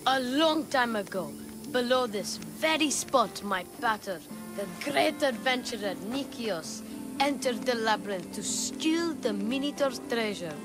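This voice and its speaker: A woman playing an eager, feisty young boy in a video game, earnest and excitable. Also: a little high, a little slow, music in the background, poor audio. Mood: earnest, worried. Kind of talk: video game character acting.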